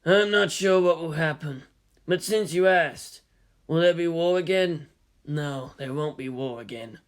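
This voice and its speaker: A man playing a sad, reluctant teenage soldier who speaks softly. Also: on the high side, measured pace, lightly acted. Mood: sad, resigned. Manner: soft-spoken.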